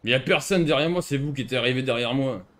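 Male streamer, mid-pitched voice, sarcastic and argumentative, casual gaming roleplay talk. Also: quick pace, fairly bright tone. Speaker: streamer playing a civilian in an online roleplay game.

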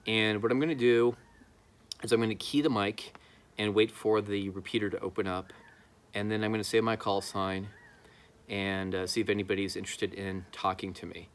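A man's voice: fairly low pitch, little pitch movement, moderate pace. A man in his thirties talking casually to camera, explaining his hobby as a video host outdoors. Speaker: YouTube host, amateur radio hobbyist. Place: outdoors in a backyard.

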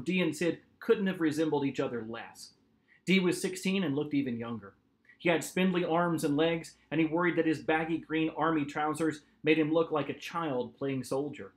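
A man in his forties reading a novel aloud as its author, with expressive, dramatic narration. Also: fairly high pitch. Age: 40s. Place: small home office, indoors.

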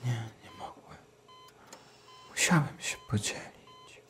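An actor playing a pleading young boy in a radio play, talking in a hushed half-whisper. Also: slow, slight background noise. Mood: earnest.

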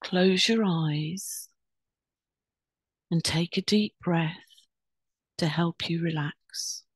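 Slow, soothing woman leading meditation. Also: fairly low pitch, slightly rough, no echo. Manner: soothing, unhurried, instructing with pauses. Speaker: guided meditation leader. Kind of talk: guided meditation.

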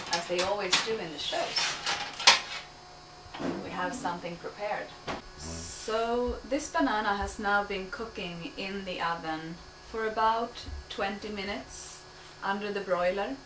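A woman's voice: mid-range voice, slow pace. Woman cooking in an echoey kitchen. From Sweden. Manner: relaxed, explaining step by step while cooking. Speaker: cooking show host. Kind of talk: home cooking demonstration. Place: home kitchen, indoors. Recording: echoey kitchen, distant mic, noisy.

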